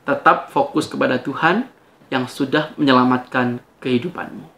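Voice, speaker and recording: A man's voice: mid-range voice, normal speed, gravelly, pushed and bright. A man delivering a preachy rebuttal monologue to viewers, emphatic and challenging, with rhetorical questions.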